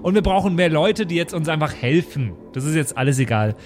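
A man's voice: on the high side, lively intonation, a little fast, soft background music. A man playing a role-playing game, bantering casually in a rambling, stubborn way.

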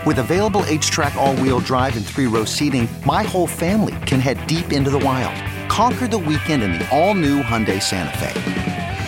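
A man's voice: medium pitch, sing-song, normal speed. A man reading a scripted car commercial as its announcer, with guitar playing behind him. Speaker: advertisement announcer. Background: loud guitar music.

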